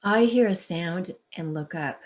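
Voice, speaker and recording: A woman author who reads aloud and talks in an expressive, deliberate storytelling manner. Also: lowish voice. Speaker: children's book author. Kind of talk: picture-book read-aloud and conversation.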